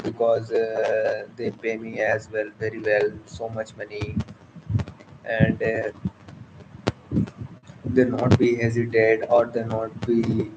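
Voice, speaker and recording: A man from India with an Indian accent, a Hindi speaker talking in English with many pauses, fillers and restarts. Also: mid-range voice, very noisy. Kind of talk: casual spoken monologue.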